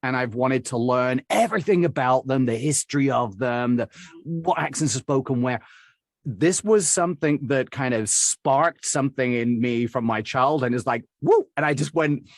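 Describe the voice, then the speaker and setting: A man's voice: medium pitch, moderate pace, no echo. Chatty English man with a dry sound. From England. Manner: chatty and rambling, with frequent fillers and small laughs. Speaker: accent and dialect coach, guest. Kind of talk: casual interview storytelling.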